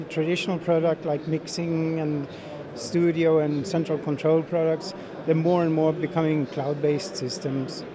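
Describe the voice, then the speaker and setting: A middle-aged man giving unscripted interview answers as a sales manager, speaking in halting phrases with frequent repeats. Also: fairly high pitch. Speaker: sales manager being interviewed at a trade show. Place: busy exhibition hall. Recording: busy trade show crowd noise.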